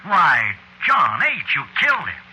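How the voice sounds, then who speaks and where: A man's voice: fairly high pitch, wildly swooping pitch, measured pace, sharp tone, husky, full character voice, low-quality recording. An actor playing a genial yet eerie salesman of death, prim and amused, in 1940s radio drama style. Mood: gleefully sinister. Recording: hissy vintage radio broadcast recording.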